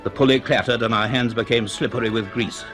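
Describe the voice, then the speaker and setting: Man narrating a suspense radio drama, measured delivery, middle pitch, bit of music behind. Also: steady tone, fairly bright tone.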